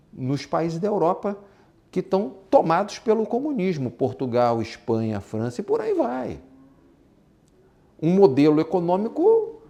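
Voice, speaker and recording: Brazilian man lecturing, lively swooping pitch. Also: creaky. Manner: emphatic, repeats points, rhetorical questions. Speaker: business instructor. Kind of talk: informal lecture on exporting and business.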